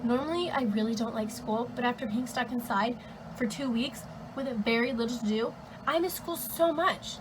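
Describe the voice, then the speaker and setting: Young woman acting wistful teen girl reading a letter, fairly high, traffic-like noise behind. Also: normal speed, close to an everyday voice. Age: teenager or young adult. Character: wistful teenage student writing to her future self. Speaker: student actor. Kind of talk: monologue read as a letter. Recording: clear background vehicle noise.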